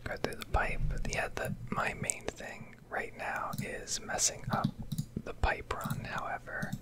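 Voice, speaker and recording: A woman whispering a casual, rambling ASMR commentary over a video game, pausing often as she goes. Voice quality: whispered, breathy. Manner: casual, rambling, hesitant pauses. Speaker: video creator, game streamer.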